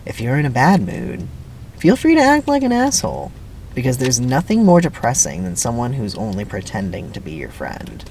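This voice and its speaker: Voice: mid-range voice, huge pitch swings, normal speed, darkish tone, slight background noise, poor audio. Someone doing a sullen, cynical teenage boy. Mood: sullen, bitter. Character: Stanley, cynical, sullen sixteen-year-old boy. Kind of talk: dramatic script reading.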